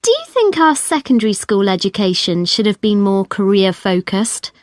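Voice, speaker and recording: A woman's voice: medium pitch, very animated, normal speed, dry recording, studio quality, close to an everyday voice. An actress playing a frank, independent-minded young female student in a scripted, conversational listening-test dialogue. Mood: chatty. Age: young adult. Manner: conversational, firm.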